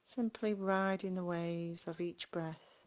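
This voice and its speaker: Female meditation guide: slightly low register, very slow delivery, gentle, with spacious pauses. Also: fairly even intonation, muffled recording. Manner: gentle, unhurried, with long pauses between short phrases. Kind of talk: guided body scan meditation.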